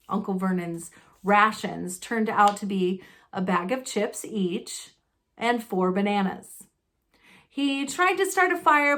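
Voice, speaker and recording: Slow-paced woman reading a story aloud. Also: mid-range voice, fairly bright tone. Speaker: storybook narrator. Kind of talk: expressive read-aloud narration of a children's novel.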